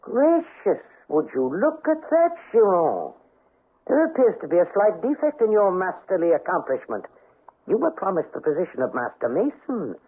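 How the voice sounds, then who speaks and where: An actor playing a cold, vengeful count in an old radio drama, with a suave, formal, mockingly polite manner. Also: male voice, high voice, huge pitch swings, moderate pace, slightly rough, heavily acted. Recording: muffled, tinny old radio recording.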